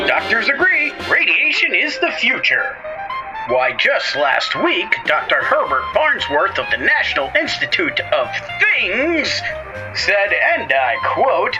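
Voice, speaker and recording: Male 1950s radio parody pitchman, shamelessly upbeat salesman, hammy, big pitch swings, loud music behind. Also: exaggerated voice, low-quality recording. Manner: hammy, exclamatory salesman delivery.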